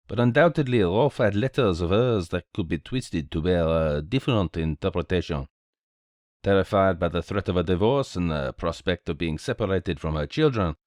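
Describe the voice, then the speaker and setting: A dapper little detective played by a man reading aloud in a storybook mystery. He speaks, courteous and theatrical, his pitch swinging freely. He sounds cheerful and very sure of himself, in a dry, close sound. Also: a little mellow, dry recording. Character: small Belgian male detective, cheerful, courteous, self-assured.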